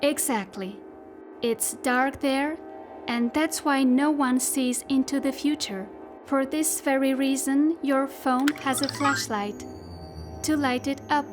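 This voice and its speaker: A possessive female machine intelligence in a sci-fi radio play. The voice is high and unhurried, talking intimately and musing in repeated words, with music clearly behind it. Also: steady tone. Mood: chatty, musing. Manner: musing, repetitive, intimate direct address. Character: talkative, possessive female AI spacesuit machine companion. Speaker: actor in an audio drama. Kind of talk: dramatic monologue in science-fiction audio drama.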